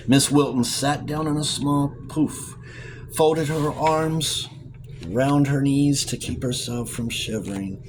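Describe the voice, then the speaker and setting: A man reading a novel as scripted narration, in a measured, expressive storytelling manner. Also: medium pitch, noisy background. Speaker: audiobook reader.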